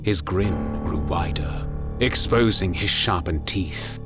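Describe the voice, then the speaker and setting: Very deep male story narrator. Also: slow. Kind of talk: dramatic scripted story narration. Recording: music under the voice. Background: music.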